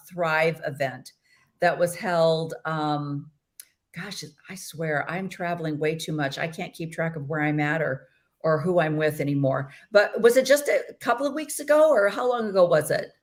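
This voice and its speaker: A middle-aged woman hosting a casual interview over a video call, chatty and rambling. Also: fairly low pitch. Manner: chatty, laughs easily, rambling. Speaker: host.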